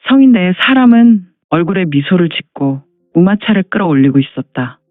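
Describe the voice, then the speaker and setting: A man with a high voice narrates a martial arts tale as scripted storytelling. The recording is dry and dull, with faint music underneath. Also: big pitch swings, moderate pace, no echo.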